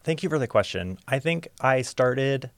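A young man from Ohio speaking as a podcast guest, open and reflective, sometimes halting. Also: mid-range voice, animated, no room sound. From Ohio, USA. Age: young adult. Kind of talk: casual interview storytelling.

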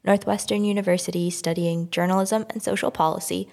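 A woman's voice: fairly low pitch, little pitch movement, a little fast, vocal fry, a little mellow. A woman in her twenties from Milwaukee, a student intern, speaking thoughtfully and casually in an interview. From Milwaukee, Wisconsin. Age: 20s. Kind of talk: conversational interview.

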